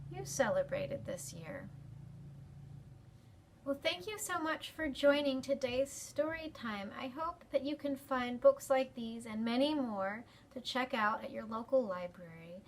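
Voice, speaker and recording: High-voiced woman hosting children's storytime. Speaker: library storytime host. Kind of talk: expressive picture-book reading and talk to children.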